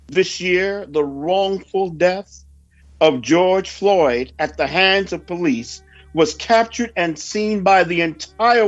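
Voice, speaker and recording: A man's voice: sits high, lo-fi sound. A man reading a scripted sermon in a solemn, reverent, formal oratorical manner. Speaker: preacher reading a prophecy report.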